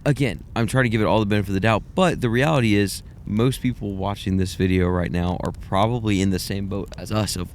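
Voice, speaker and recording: A man's voice: lowish voice, steady tone, fast, hardly any pauses, slight background noise. A young man co-hosting a casual film podcast, rambling and hedging as he gives his take. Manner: rambling, hedging, self-deprecating. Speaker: podcast co-host. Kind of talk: casual film review chat.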